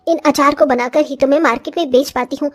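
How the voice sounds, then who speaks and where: A woman voicing a short older village mother-in-law in a cartoon story, complaining and exclamatory but grudgingly soft-hearted. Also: high-pitched, fairly even intonation, fast talker, lightly acted. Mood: worried. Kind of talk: Hindi cartoon story voice acting.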